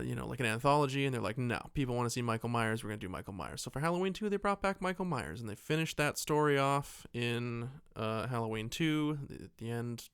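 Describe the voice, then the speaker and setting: A man's voice: mid-range voice, fairly bright tone, clear, resonant tone, no room sound, clean studio sound. A man co-hosting a casual movie podcast, telling things in a rambling, joking way with imagined bits of dialogue. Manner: joking, sarcastic asides. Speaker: podcast co-host.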